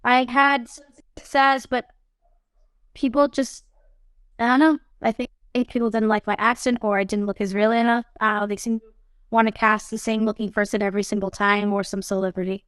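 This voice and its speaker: A woman's voice: a little high, flat delivery, fairly quick, a bit bright, smooth, no echo. A young adult woman, an actress being interviewed, talking casually and animatedly, often saying "you know what I mean". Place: studio podcast set.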